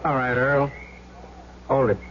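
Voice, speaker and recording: An actor playing a firm, commanding police lieutenant in an old radio crime drama. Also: male voice, medium pitch, flat delivery, takes it slowly, lightly acted. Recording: muffled, hissy old radio recording.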